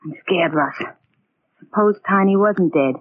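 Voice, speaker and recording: A woman playing a nervous, husky gangster's moll. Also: medium pitch, measured pace, dry recording, natural voice. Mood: frightened, urgent. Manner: anxious, insistent. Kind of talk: 1940s radio crime acting. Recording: muffled, tinny 1940s radio recording.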